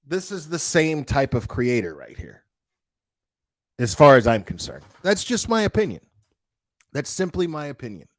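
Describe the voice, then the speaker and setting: A man's voice: on the high side, huge pitch swings, normal speed, pauses often. Blunt, profane man ranting. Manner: blunt, profane, many restarts and fillers like "bro" and "dude". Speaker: online commentator. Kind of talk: casual reaction rant.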